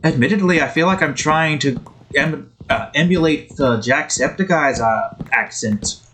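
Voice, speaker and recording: A man's voice: a little high, a little slow, a bit bright. A man hosting a game video with casual, rambling commentary in a put-on Scottish accent that wavers. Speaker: gaming video host. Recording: faint background noise.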